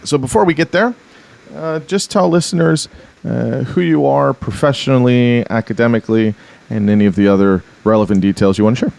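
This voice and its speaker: A man hosting a podcast, introducing the episode and his guest in a relaxed way with many fillers and restarts. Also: mid-range voice, fairly quick, vocal fry. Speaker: podcast host.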